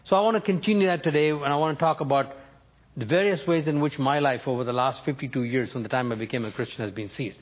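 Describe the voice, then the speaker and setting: A man in his seventies, born and raised in North India, preaching a sermon by telling his own life story in a measured, storytelling way. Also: medium pitch, dull audio. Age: 70s. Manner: personal storytelling, measured with emphatic lifts.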